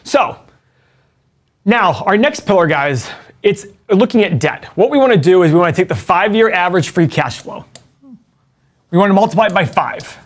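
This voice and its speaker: A man's voice: high-pitched, lively intonation, normal speed, pauses often. A middle-aged man co-hosting a casual finance chat, explaining numbers step by step and asking rhetorical questions. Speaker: co-host and stock analyst.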